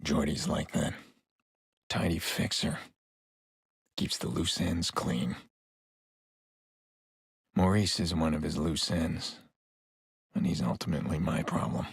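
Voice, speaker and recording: A haunted, middle-aged vigilante, very husky and low, in a video game cutscene. He broods in terse, deliberate phrases, with a gravelly edge and a close, dry sound. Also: steady tone, no echo, exaggerated voice. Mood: grim.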